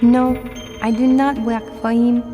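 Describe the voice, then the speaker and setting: A woman's voice: fairly high pitch, little pitch movement, unhurried, mellow, in a large hall, soft background music, lightly acted. A woman doing a cheerful French adventure fan. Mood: earnest. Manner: earnest, loyal, slightly broken English. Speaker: game character.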